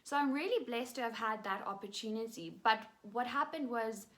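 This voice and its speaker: A woman's voice: a little high, animated, noisy background. A young South African woman in her late twenties, an actress telling her story in a casual, chatty way with lots of fillers. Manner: chatty, filler-heavy, quick bursts. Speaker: actress and vlogger.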